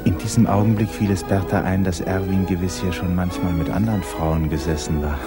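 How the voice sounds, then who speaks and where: 1950s radio play narrator, male actor, low voice, measured and intimate storytelling, loud music behind. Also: fairly even intonation, lightly acted.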